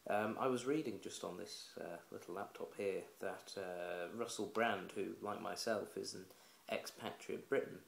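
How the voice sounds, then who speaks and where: A man's voice: fairly low pitch, creaky. A man giving blunt, opinionated casual commentary to camera for his own video blog, speaking indoors at home. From England. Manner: blunt, opinionated, plain-spoken. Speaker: vlogger. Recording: roomy echoey room.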